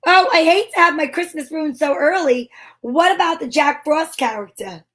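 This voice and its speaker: A woman's voice: high-pitched, moderate pace, lightly acted. A woman playing a breezy, wisecracking private detective in an amateur old-time radio drama, wry and playful. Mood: casual.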